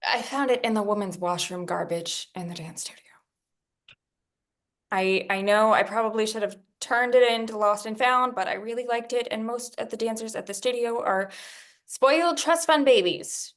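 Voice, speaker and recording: A woman reading the part of a guarded, defensive dancer in a film table read, conversational with a creaky voice. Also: on the high side, moderate pace, natural voice.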